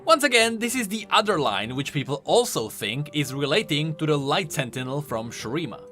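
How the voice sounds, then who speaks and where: A man's voice: on the high side, very animated, normal speed, a bit bright. A man giving emphatic, enthusiastic explanatory commentary on video game lore as a voice-over. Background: faint music.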